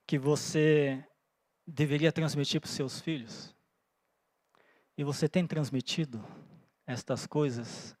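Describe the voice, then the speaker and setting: A pastor in his forties, born in Japan, preaches earnestly with a fairly high voice. He thinks aloud and checks in with his listeners. The sound is close and dry. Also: no room sound. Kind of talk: sermon. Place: church.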